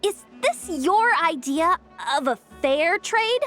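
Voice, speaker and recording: High-pitched, brash young schemer. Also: female voice, takes it slowly, heavily acted. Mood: cajoling, pleading. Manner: slangy, expressive, dramatic. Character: brash, scheming, excitable young female expo manager. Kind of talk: animated video game voice acting. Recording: music under the voice.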